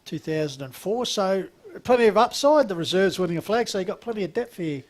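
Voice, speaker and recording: Chatty Australian footy commentator, high voice. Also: male voice, expressive, brisk. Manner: chatty, enthusiastic, many fillers. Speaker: football match commentator. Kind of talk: local radio-style footy commentary.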